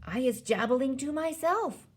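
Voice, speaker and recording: A woman's voice: high-pitched, animated, a little slow, slightly rough, pitched above the performer's own voice, full character voice. A woman voicing a timid, nervous male giant, the runt of his kind, protesting in a children's storybook. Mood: defensive.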